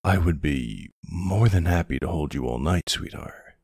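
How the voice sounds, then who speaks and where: Very deep, gravelly older boyfriend played by a man. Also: lively intonation, no room sound, studio quality, exaggerated voice. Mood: fond, teasing. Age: middle-aged or older character. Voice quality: husky, gravelly. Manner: affectionate teasing, hesitant pauses, soft reassurance. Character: gruff, tender older ex-soldier boyfriend, protective and teasing. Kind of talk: intimate ASMR boyfriend roleplay.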